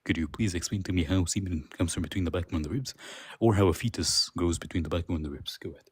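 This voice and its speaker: A man whose first language is Arabic, forcefully pressing his points and talking over the other side in a heated live debate. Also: deep voice, fast, husky, dry recording, clean studio sound.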